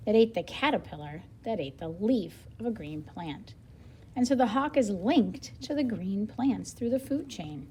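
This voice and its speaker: A woman's voice: medium pitch, mellow, slight background noise. A woman reading a children's nonfiction book aloud like a teacher, explaining as she goes for young learners. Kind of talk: read-aloud of nonfiction picture book with brief explanatory asides.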